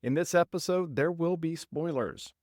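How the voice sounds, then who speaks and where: A man's voice: on the high side, moderate pace, a little mellow, no echo, clean studio sound. A man hosting a film podcast, reading an upbeat scripted intro, then chatting casually with his co-host. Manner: upbeat, reads from notes.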